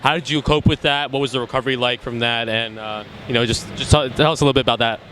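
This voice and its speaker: A man interviewing a player outdoors, upbeat and affirming as he asks quick questions. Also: medium pitch, steady tone, bright, forceful tone, slight background noise. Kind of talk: casual interview.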